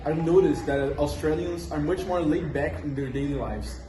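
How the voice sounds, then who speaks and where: A man's voice: a little high, normal speed. A young man answering interview questions in a scripted school role-play, reading memorized lines evenly. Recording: echoey room with background noise.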